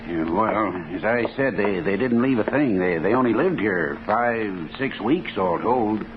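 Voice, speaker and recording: A folksy, lively-pitched landlord. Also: male voice. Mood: defensive. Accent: American. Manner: halting, stammering, colloquial. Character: defensive male rooming-house landlord, folksy and hesitant. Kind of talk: 1950s radio drama character acting. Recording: noisy, tinny 1950s radio recording.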